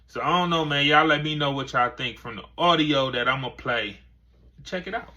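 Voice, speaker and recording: A man's voice: mid-range voice, normal speed, sharp tone, lo-fi sound. A man hosting a casual commentary video, rambling with lots of filler phrases. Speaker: commentary channel host.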